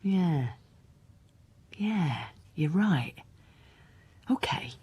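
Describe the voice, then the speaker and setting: Radio drama: weary female inspector, husky, dry delivery, lowish register. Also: lively intonation, very slow, a little mellow, full character voice. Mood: weary musing. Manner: blunt, dry, questioning. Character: driven, weary, sardonic female police inspector. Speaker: actor.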